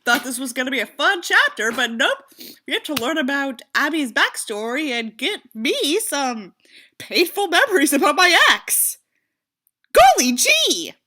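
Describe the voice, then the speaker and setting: A woman's voice: sits high, very animated, a little slow, pushed and bright. A woman reading a novel aloud with sardonic commentary, in an exasperated, sarcastic manner with sweary asides. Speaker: audiobook reader and commentator.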